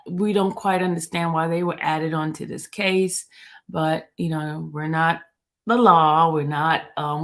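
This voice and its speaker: Slow-talking woman hosting a livestream. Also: lowish voice. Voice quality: slow, relaxed delivery with frequent ums. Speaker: livestream host reading court transcripts. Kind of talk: casual livestream chat and transcript reading.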